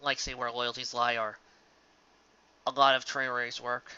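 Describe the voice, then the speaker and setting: A bright, forceful voice speaks slowly and earnestly to an audience. The delivery is halting and sentimental, leaning hard on key words with dramatic pauses. It sounds like a dull, lo-fi home recording. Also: mid-range voice, little pitch movement, smooth. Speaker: live reader of fan fiction. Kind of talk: earnest spoken commentary to an audience.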